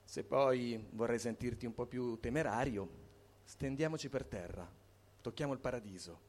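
A man delivers verse as an emphatic recitation. His pitch is average for a man. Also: moderate pace, darkish tone. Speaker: poetry reader.

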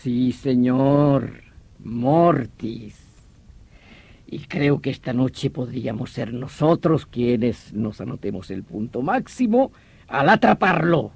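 An actor playing an excitable, irritable French police commissioner in an old radio drama, emphatic and condescending. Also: male voice, medium pitch, slow, gravelly, dry recording, full character voice. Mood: eager, confident.

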